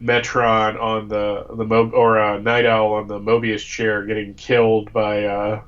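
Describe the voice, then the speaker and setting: A man's voice: fairly low pitch, monotone, measured pace, muffled recording. An American man co-hosting a casual live fan chat, his voice congested from a cold. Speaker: co-host.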